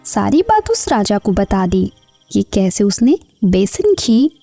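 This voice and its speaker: A woman's voice: mid-range voice, big pitch swings, takes it slowly, dark tone, no echo, soft background music. A woman narrator reading a scripted children's story.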